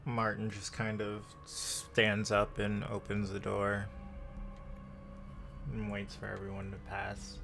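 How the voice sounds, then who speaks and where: A man's voice: on the low side, completely flat delivery, slow pace, gravelly, a bit bright, faint music behind. A man narrating scenes and characters' actions as a narrator in a tabletop roleplay game.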